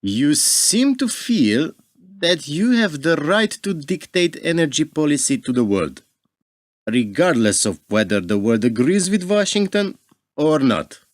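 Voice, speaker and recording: A man's voice: fairly high pitch, big pitch swings, measured pace, no echo. Radio play role: male actor as suave diplomat, suspicious and pointed, formal phrasing, very varied intonation, dry recording.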